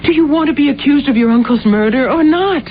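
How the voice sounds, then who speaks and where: A woman's voice: sits high, expressive, brisk, slight background noise. An actress playing a devoted, anxious young woman in an old-time radio drama, earnest, emotional and pleading. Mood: firm, upset. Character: devoted, anxious young woman visiting her troubled sweetheart. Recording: muffled 1970s radio recording with music.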